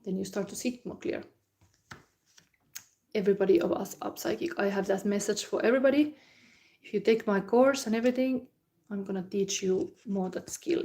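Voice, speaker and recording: Finnish lady doing a psychic reading, soft mellow voice, chatty, lots of fillers. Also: mid-range voice. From Finland. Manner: calm, unhurried, loose non-native phrasing with frequent fillers. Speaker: psychic card reader. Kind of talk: live psychic reading and comment chat.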